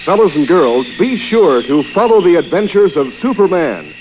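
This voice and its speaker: An actor as an emphatic announcer for an old children's radio serial. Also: male voice, high-pitched, very animated, moderate pace, soft background music. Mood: rousing. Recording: dull, lo-fi old radio record.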